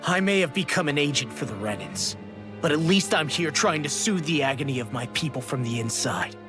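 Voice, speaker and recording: An actor playing a bitter, defensive young turncoat in a video game, curt and defiant, with a husky voice. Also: male voice, fairly high pitch, lively intonation, brisk, music in the background, natural voice. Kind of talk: English video game dub acting.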